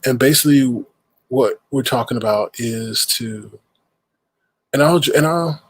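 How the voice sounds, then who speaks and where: A man's voice: mid-range voice, unhurried, breathy edge. A man with a stuffed-up nose hosting a casual livestream, rambling and greeting viewers in chat mid-sentence. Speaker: livestream host.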